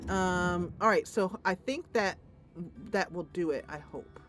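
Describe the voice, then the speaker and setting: An enthusiastic woman streams a fantasy roleplaying game at an unhurried pace. She moves between thinking aloud and storybook-like dramatic narration, with faint music underneath. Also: lowish voice. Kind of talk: gameplay commentary mixed with dramatic narration.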